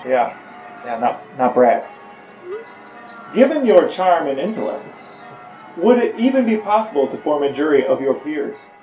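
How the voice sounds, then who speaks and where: A man's voice: on the high side, sing-song, unhurried, long pauses, mellow, soft background music. Man interviewing playfully in an echoey room. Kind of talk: playful scripted questions and banter.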